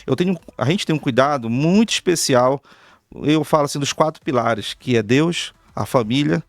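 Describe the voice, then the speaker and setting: A man's voice: medium pitch, lively intonation, moderate pace, no room sound. A Brazilian man hosting an interview, talking earnestly in long flowing sentences in a casual chat. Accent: Brazilian Portuguese. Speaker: talk show host and artist manager.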